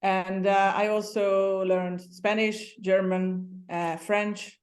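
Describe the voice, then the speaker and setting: A woman from Hungary speaks English with a Hungarian accent in a casual, chatty interview. Her voice sits in a middle pitch, and she laughs lightly and now and then restarts a sentence. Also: flat delivery, slow. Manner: chatty, laughs often, some restarts and slips. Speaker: guest.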